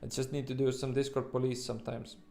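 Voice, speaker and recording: Halting Estonian-accented man, livestream host. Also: mid-range voice, little pitch movement, gravelly, mellow. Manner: halting, rambling, informal, occasional swearing. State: sleep-deprived. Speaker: livestream host, futures trader. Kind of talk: casual livestream chat with viewers.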